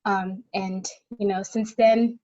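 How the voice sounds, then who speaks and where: A woman's voice: mid-range voice, steady tone, takes it slowly, dark tone. A woman in her twenties answering interview questions casually as a student guest, a little hesitant in her phrasing. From born in Tanzania, in the United States since childhood. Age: 20s. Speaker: guest, college student advocate. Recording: slightly dull video call audio.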